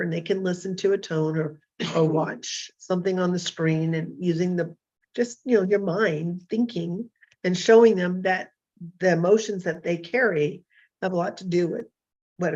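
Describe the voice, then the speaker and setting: A hoarse woman in her fifties hosting a casual solo talk show, rambling and self-deprecating with many fillers and restarts. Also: on the low side, dull audio. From California. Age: 50s. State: hoarse from illness.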